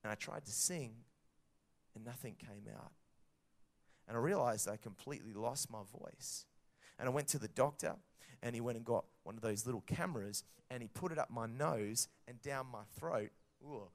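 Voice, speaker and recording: A man preaching a sermon to children and parents, telling a story in a lively way and acting out the dialogue. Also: medium pitch, moderate pace.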